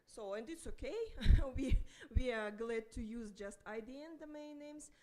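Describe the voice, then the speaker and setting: A woman's voice: fairly high pitch, unhurried, darkish tone. A woman speaking on a conference panel, hesitant and repeating words as she searches for phrasing. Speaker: panelist and moderator.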